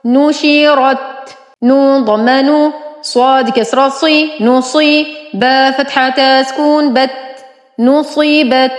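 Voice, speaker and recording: Slow, high-voiced woman teaching with heavy reverb. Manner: deliberate, syllable-by-syllable, drawn-out enunciation. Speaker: Quran reading teacher. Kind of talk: slow spelling-out Arabic phonics drill.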